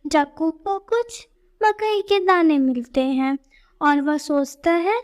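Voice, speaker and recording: A woman tells a children's story from a script. Her voice sits high, and she takes the narration slowly, phrase by phrase.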